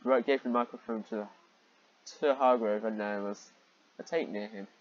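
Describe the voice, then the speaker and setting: A man's voice: on the low side, moderate pace, dull audio. A man reading fan fiction aloud and commenting on it in a sarcastic, exasperated, sweary manner.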